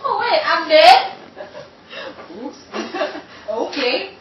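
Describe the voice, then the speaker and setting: Playful woman actor at rehearsal, very high and swooping voice, giggly, in a loud noisy background. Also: big pitch swings, very slow. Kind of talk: casual rehearsal chat. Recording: echoey, distant, muffled, very noisy recording.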